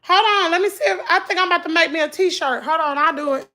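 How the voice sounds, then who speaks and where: A middle-aged woman with a Southern US accent, chatting hoarsely to her viewers at home on a phone livestream. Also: very high voice, fast, pushed and bright. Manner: chatty, laughing, drawling, talks to "y'all". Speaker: vlogger on a morning livestream. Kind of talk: casual chat with viewers. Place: at home indoors.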